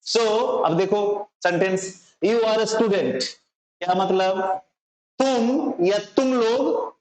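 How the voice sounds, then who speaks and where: Indian male teacher, high voice, slow deliberate pace, informal lesson style, frequent check-in questions. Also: a little mellow. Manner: repeats slowly, often checks understanding. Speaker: English teacher. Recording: roomy echo, slightly dull sound.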